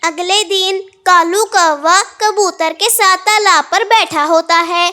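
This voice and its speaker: A woman's voice: extremely high pitch, slow pace, bright, forceful tone. A woman narrating a scripted children's story.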